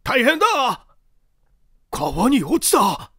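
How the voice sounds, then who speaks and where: A man voicing rough rustic construction laborers in a dramatic audiobook reading, with blunt colloquial speech. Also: extremely high pitch, big pitch swings, slow pace, bright, forceful tone, no room sound, studio quality, pitched above the performer's own voice, full character voice. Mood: alarmed shouting. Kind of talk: dramatic audiobook character acting.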